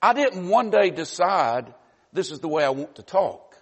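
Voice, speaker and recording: A Southern American preacher with a fairly high voice delivers an earnest, folksy sermon. His words carry a long reverb, as in a church hall. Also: very animated, normal speed, husky. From Southern United States. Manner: folksy, earnest, with many "you know" asides.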